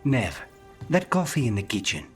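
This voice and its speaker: An actor playing a fussy, suave, easily appalled connoisseur in a video game. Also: male voice, medium pitch, sing-song, moderate pace, faint music behind, close to an everyday voice.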